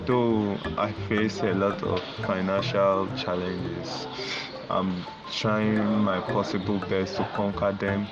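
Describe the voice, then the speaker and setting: A man's voice: low-pitched, little pitch movement, slow pace. Earnest young man, lo-fi recording. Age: 20s. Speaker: student interviewee. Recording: dull lo-fi audio with clear music.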